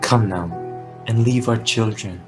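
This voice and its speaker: Actor doing a stern new king, measured n commanding, slow tragedy delivery w music under it. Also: mid-range voice, lightly acted. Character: stern, composed new ruler, firm but measured. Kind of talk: classical tragedy dramatic reading.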